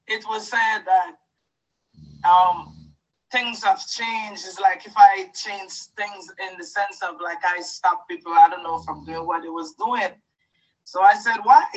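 Slow halting woman from Guyana. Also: medium pitch, recorded from a distance, rough recording. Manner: hesitant, halting, with fillers. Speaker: church member giving a testimony. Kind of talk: emotional personal testimony.

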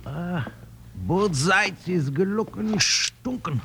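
A flustered Old West German gunsmith in a comic radio western. His high, gravelly voice swoops around as he mangles English into mock-German, on a noisy vintage recording. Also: expressive, slow pace, fairly bright tone, exaggerated voice. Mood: flustered, puzzled. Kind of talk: old-time radio comedy western acting.

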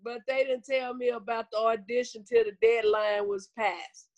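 A woman's voice: a little high, steady tone, moderate pace, darkish tone. A woman in her fifties with a Southern US accent, chatting as a comedian guest in a folksy, good-humored way. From Arkansas, United States. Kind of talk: casual interview chat.

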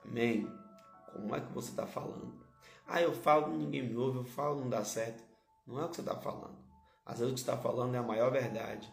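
A hoarse male pastor, preaching a sermon and repeating key phrases to his listeners. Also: medium pitch, fairly even intonation, fast, roomy sound, soft background music.

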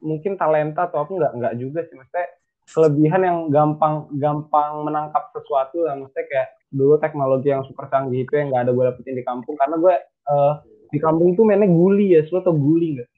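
A young man from North Sumatra answers interview questions in a casual, rambling way, full of fillers and restarts. His voice sits a little high for a man. The sound is thin and tinny, like a phone line. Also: fairly even intonation, fairly quick. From North Sumatra, Indonesia. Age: young adult.

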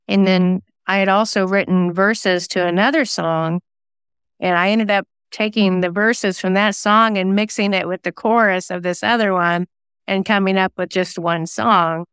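A woman's voice: medium pitch, a bit bright, clean tone, no echo, studio quality. A woman talking casually as an interview guest, chatty and self-effacing. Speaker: interview guest, singer-songwriter and novelist.

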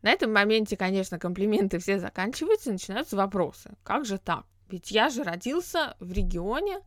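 A woman's voice: mid-range voice, big pitch swings, a little fast, a bit bright, dry recording, studio quality. A woman hosting a podcast, talking through ideas on her own in an explanatory, reflective way with frequent hesitation sounds. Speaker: podcast host. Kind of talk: solo podcast monologue.

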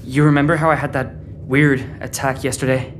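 A man's voice: mid-range voice, flat delivery, a little fast, a little hiss or hum, close to an everyday voice. A young man playing a stubborn, secretive teenage boy on the run in an audio drama. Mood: evasive, reassuring. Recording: dramatic music underneath.

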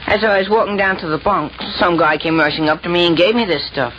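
A man's voice: high-pitched, quick pace, close to an everyday voice. An actor playing an easygoing, naive teenage boy in an old radio comedy, with a guileless manner. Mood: puzzled, easygoing. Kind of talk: 1940s radio comedy acting. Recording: muffled 1940s radio broadcast, faint noise.

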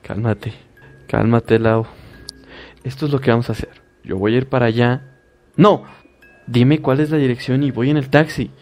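A man's voice: mid-range voice, steady tone, normal speed, long pauses, a little mellow, natural voice. A man voicing a worried mother who speaks firmly and soothingly to her daughter in a horror story. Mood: calming. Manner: soothing, firm, reassuring. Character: worried middle-aged mother, calming.

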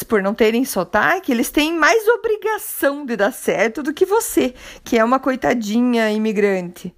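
A Brazilian woman with a high, light voice hosts a motivational podcast on her own. She talks in a loose conversational way, encouraging and reflective, as if speaking straight to one listener. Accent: Brazilian Portuguese. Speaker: podcast host.

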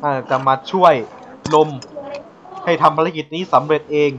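A man's voice: on the high side, fairly even intonation, normal speed, a bit bright, a little hiss or hum, pitched below the performer's own voice, close to an everyday voice. A man playing a helpful, meddling cloud in an improvised comic fable. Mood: eager, helpful. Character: meddling, helpful male cloud cheering on the Wind.

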